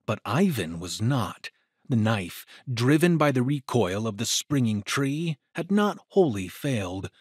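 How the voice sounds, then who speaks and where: A man narrating an adventure story in a dramatic, deliberate, suspenseful way. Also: medium pitch, sing-song, slightly rough, no echo, studio quality.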